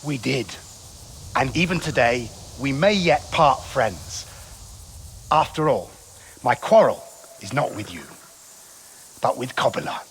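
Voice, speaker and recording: An actor playing a formal, calculating noble knight addressing a rival in a video game cutscene. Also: male voice, mid-range voice, expressive, slow pace, creaky, pauses often, a little hiss or hum. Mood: courteous, measured. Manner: formal, courtly. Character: courteous, calculating noble knight leading the enemy at parley.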